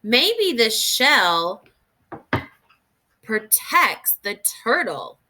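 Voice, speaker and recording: A woman reading aloud in an expressive, instructive way, as a teacher does for young children, pausing to think through questions. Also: on the high side.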